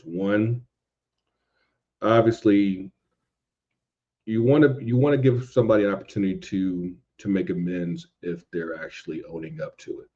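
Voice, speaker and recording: A man with a low, mellow voice talks casually and emphatically as a podcast host. He is opinionated, repeats himself for stress and swears freely, and the audio is a little dull and lo-fi. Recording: dull lo-fi sound.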